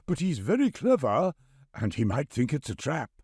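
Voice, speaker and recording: A man narrator voices an abbot from a children's storybook, shrewd and curious. He weighs things in a measured way while his pitch moves about freely. The recording is dry and close. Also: wildly swooping pitch, a little mellow, slightly rough, no room sound. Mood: cautioning. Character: shrewd male abbot, the villain's curious friend.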